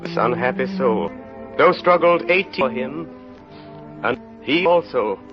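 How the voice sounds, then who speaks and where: An actor playing a solemn literary narrator of a tragic tale, grave and oratorical, in vintage radio drama style. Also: male voice, medium pitch, lively intonation, a little slow, lightly acted. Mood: grave, dramatic. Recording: muffled vintage radio recording, music behind.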